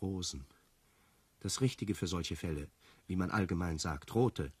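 An actor playing an evasive bridegroom with dry, laconic humour in a radio play. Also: male voice, low-pitched, measured pace, darkish tone, slightly rough, exaggerated voice. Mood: tender, wry. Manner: laconic, evasive, dryly self-mocking. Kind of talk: German radio play acting.

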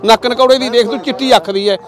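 An older man with a Punjabi accent, a goat seller bargaining loudly at an outdoor market, pushy and talkative. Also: very high voice, fairly even intonation, quick pace, a crowd in the background. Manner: loud, pushy seller's patter, calls people "shera". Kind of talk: casual bargaining chat.

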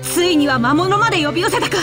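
A woman's voice: very high-pitched, lively intonation, fast. An actress voicing an earnest, serious young female swordfighter with blunt, formal speech in a Japanese video game. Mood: alarmed. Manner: blunt, formal, boyish wording. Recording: faint game music behind.

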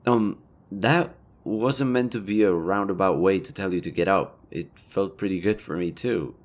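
Man acting as low-voiced, awkwardly flirty elven leader. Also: moderate pace. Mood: sheepish, apologetic. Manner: conversational, hesitant, rambling, casually profane. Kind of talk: intimate roleplay audio drama.

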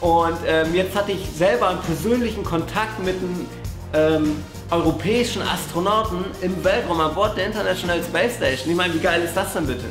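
Male, 20s, higher-pitched, excited casual vlogger, roomy indoor sound. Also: fairly quick. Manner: enthusiastic. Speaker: amateur radio vlogger. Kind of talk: casual vlog to camera. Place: small bare-walled room indoors. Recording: roomy echo, faint music.

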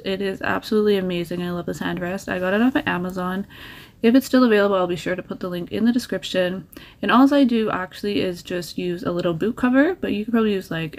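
Chatty woman giving nail tips. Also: mid-range voice. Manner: chatty, encouraging, gives tips. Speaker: nail art creator. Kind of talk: casual tutorial voice-over.

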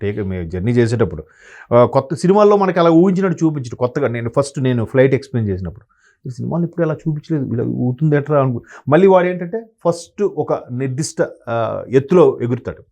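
A man's voice: mid-range voice, lively intonation, fast talker, darkish tone. A man speaking as an analyst guest, talking in a rambling, sombre way with many restarts. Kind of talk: conversational commentary.

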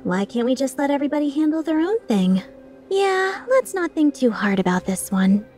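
A woman's voice: high voice, big pitch swings, normal speed, a little mellow, faint music behind. A woman voicing a cocky female mercenary leader in a video game fan dub, playful, sarcastic and taunting with an edge of menace. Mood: dismissive, amused.

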